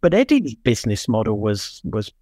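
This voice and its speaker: A man's voice: fairly low pitch, huge pitch swings, normal speed, a little mellow, no room sound, studio quality. A middle-aged or older man co-hosting a podcast, chatting casually about motorsport in a rambling way, repeating words for emphasis. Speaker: podcast co-host.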